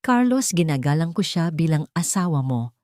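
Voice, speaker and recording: A woman's voice: low voice, very animated, moderate pace, warm tone, no room sound, clean studio sound. A woman narrator voicing a stern, controlling older grandmother in a dramatic story, speaking formally and firmly. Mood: calm but hard. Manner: formal, firm, haughty.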